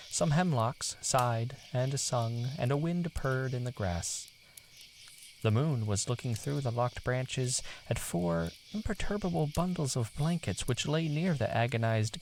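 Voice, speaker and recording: A man narrating a literary story in a theatrical, deliberate manner. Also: mid-range voice, vocal fry, slight background noise.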